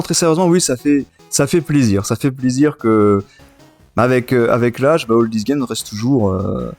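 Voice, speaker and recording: A man's voice: fairly low pitch, darkish tone. A man in his thirties hosting a casual podcast chat, enthusiastic and often repeating himself. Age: 30s. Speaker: podcast and web radio host. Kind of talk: casual chat, reminiscing about retro gaming.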